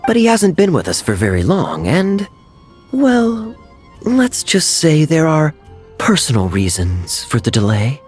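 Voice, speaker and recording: An actor playing a suave, teasing adult male knight in a game, smooth and given to chuckling. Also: on the high side, wildly swooping pitch, unhurried, soft background music. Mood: wry. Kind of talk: game cutscene voice acting.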